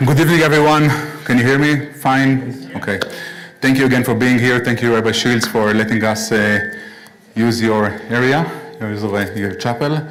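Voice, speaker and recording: A man's voice: medium pitch, steady tone, moderate pace, background noise. A man with an Israeli accent giving a prepared presentation to a class in a synagogue chapel, earnest with flashes of dry humor. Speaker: guest lecturer.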